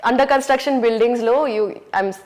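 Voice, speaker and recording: A woman's voice: on the high side, normal speed, a little mellow. A woman from Hyderabad with an Indian English accent, an actress answering interview questions candidly and reflectively, often saying "you know" and "um". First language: Urdu. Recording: hall reverb.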